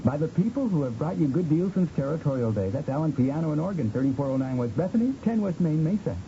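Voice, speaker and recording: A man plays an everyman in an old radio commercial. His somewhat high voice is fast and animated, reading scripted chat. The sound is muffled and noisy. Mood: promotional.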